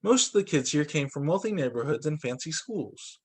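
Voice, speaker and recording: A man reading a children's storybook aloud as a teacher, with expressive narration and acted-out dialogue. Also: a little high, moderate pace, husky. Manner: animated, acts out dialogue for children.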